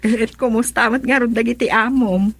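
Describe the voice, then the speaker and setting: An actress playing a lively, sociable female friend in a radio drama, with a Filipino accent. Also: fairly high pitch, normal speed. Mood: cheerful. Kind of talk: Ilocano radio drama acting.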